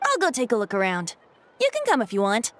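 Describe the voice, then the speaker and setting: A woman's voice: on the high side, wildly swooping pitch, fast talker, a little hiss or hum. A woman voicing a playful, secretive young male bard in a video game cutscene, talking in a lilting, teasing way. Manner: lilting, teasing, then soft and solemn. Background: game soundtrack music.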